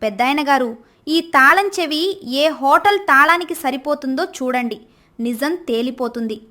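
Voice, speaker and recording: A woman's voice: sits high, normal speed, pushed and bright. A woman voicing a clever, bold village wife. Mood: confident. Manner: confident, clear explaining. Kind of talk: animated storybook voice acting.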